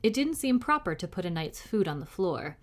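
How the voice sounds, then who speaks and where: A woman narrating a children's book aloud as a storytime reader, in an expressive style. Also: lowish voice, normal speed.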